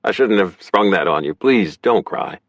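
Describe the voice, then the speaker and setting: A man's voice: deep voice, expressive, moderate pace, no echo. A man playing a guilt-ridden, defensive man in an audiobook, guarded, stubborn and pained. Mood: apologetic. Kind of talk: audiobook character dialogue.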